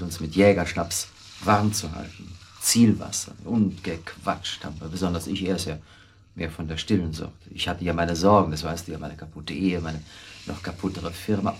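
A man playing a weak middle-aged husband in a radio drama, confessing in a hesitant, rambling, self-justifying way with a husky voice. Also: low voice. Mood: brooding, reminiscing. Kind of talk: naturalistic German radio drama acting.

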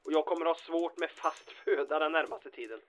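Woman acting a blunt, low-voiced female confidante. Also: lightly acted. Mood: sardonic. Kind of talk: radio drama dialogue.

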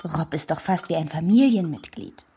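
A woman's voice: deep voice, animated, normal speed, mellow, husky, heavily acted. A woman playing a doting, placid superhero-family mother in a children's radio play.

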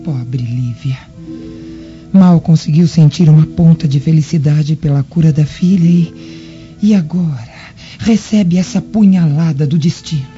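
A compassionate Roman governor's wife in a radio drama. Her voice is very dark and soft-toned, gentle and gracious, in a dull recording over faint music. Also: low voice, a little slow. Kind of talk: old-fashioned radio drama acting.

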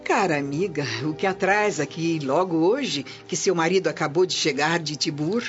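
A woman's voice: low-pitched, moderate pace, lightly acted. A woman acting a haughty, coolly insinuating Roman noblewoman in a radio drama, polished and condescending. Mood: politely cool. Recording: dull lo-fi audio, music behind.

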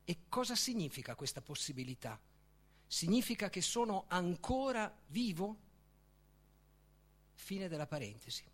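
Male author-reader, high-set voice, widely swinging intonation, declamatory literary delivery. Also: sing-song, fairly bright tone. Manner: declamatory, deliberate, with emphatic pauses. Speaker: author reading own book. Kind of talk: literary reading, dramatic recitation.

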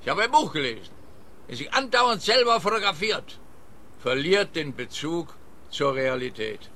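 An actor playing a smug, obtuse police officer in a radio play, talking in a pompous, officious way. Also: male voice, on the high side, big pitch swings, moderate pace, bright, forceful tone, a little hiss or hum. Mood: opinionated.

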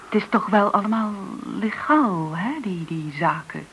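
A woman acting in a radio play as a businessman's confiding friend, tentative and at times soft-spoken. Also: medium pitch, unhurried, slightly rough, heavily acted. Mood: uneasy, confiding. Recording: dull, noisy old radio recording.

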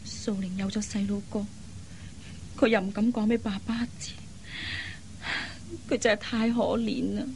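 A woman playing an anxious, caring elder sister in an expressive, earnest radio drama style. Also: medium pitch, a little slow, vocal fry. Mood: concerned, puzzled. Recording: faint background noise.

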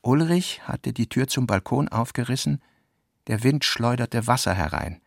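Gravelly male narrator, close and dry. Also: mid-range voice, animated, a little slow, dry recording. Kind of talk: literary narration in a radio play.

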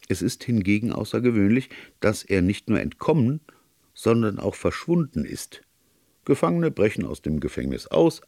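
A man voicing a grave, plain-spoken police colonel in a storybook reading, earnest, formal and deliberate. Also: on the low side, gravelly, darkish tone, no room sound, studio quality, heavily acted. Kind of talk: audiobook character dialogue.

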